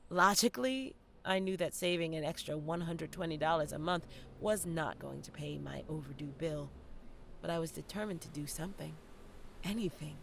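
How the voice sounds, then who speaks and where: An actress playing a wry, candid young theater graduate in a dramatic first-person audio play monologue addressed to the audience. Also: female voice, on the low side, creaky. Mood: earnest. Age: young adult. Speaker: actor narrating an audio play.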